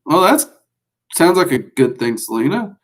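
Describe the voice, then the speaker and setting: A man's voice: fairly high pitch, sing-song, normal speed. Folksy Southern man rambling on a livestream. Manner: rambling and folksy, frequent fillers. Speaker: livestream host teaching magic. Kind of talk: casual livestream chat, answering viewers.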